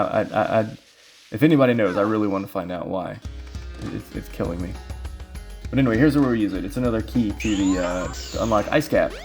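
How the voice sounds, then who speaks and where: A man's voice: fairly low pitch, darkish tone. A man giving casual, rambling commentary as he plays through a video game, thinking aloud. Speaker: speedrun player and commentator. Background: video game music.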